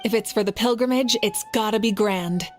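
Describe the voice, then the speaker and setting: A woman voicing a confident young woman in a game cutscene, relaxed and friendly as she lays out plans. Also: medium pitch, moderate pace, soft background music, lightly acted.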